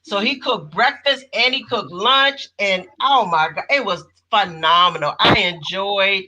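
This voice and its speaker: A woman in her fifties hosting a casual video call chat, animated and given to exclaiming. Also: mid-range voice, a little slow, highs cut off. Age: 50s. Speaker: host.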